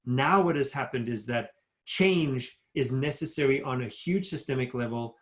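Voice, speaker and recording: A man's voice: medium pitch, normal speed. A middle-aged man from Africa, giving an earnest, emphatic motivational talk as a guest speaker over a video call. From South Africa. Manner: earnest, emphatic, builds points in lists. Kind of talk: motivational talk at a virtual town hall.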